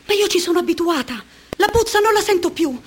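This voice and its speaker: A very high, thin voice belongs to a tired, dreamy young woman who aches all over, in a radio drama. She talks softly and wistfully, half lost in her own thoughts. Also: animated, fairly quick, a little hiss or hum. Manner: quiet, weary, dreamy. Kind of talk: Italian radio play acting.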